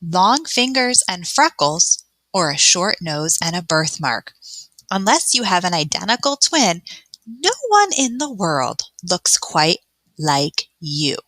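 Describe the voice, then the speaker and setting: A woman's voice: fairly low pitch, very animated, slow pace, pushed and bright, no echo, rough recording. A woman teacher reading a science text aloud and guiding young pupils through it in an instructional way. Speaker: elementary teacher. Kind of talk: read-aloud science lesson for children.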